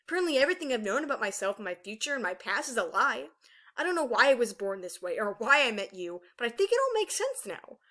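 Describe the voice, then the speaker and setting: A woman's voice: on the high side, animated, fast talker, sharp tone. A woman voicing an anguished young female ice dragon in a fantasy story, impassioned and dramatic. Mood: desperate, tormented.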